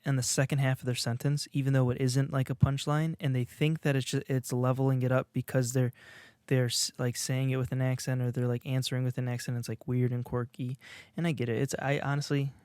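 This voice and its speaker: A young man talks off the cuff on a podcast, mocking himself and restarting his sentences as he goes. His voice sits in an ordinary middle pitch. The recording is dry and close, with no room sound. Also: little pitch movement, fairly quick, a little mellow, smooth, dry recording. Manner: rambling, self-mocking, many restarts. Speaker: podcast co-host. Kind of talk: casual comedic monologue.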